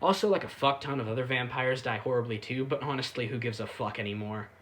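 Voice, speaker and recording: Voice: mid-range voice, fast, a bit bright, a little hiss or hum. A reviewer giving a casual, sarcastic recap with irreverent, sweary delivery. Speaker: YouTube anime reviewer.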